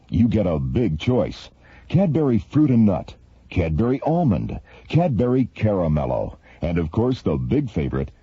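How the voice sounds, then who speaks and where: A man's voice: lowish voice, dark tone, slightly rough. A man delivering scripted dramatic radio narration as an announcer. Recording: dull radio recording, faint noise.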